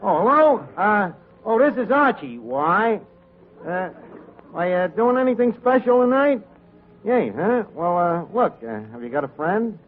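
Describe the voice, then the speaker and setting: Brash, animated tavern manager. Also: male voice, high-pitched, unhurried. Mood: hopeful, wheedling. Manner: mangled grammar, mock-polite, brash. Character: scheming, wisecracking tavern manager, brash and uneducated. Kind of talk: 1940s radio comedy acting. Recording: vintage radio, narrow band, audience and hiss.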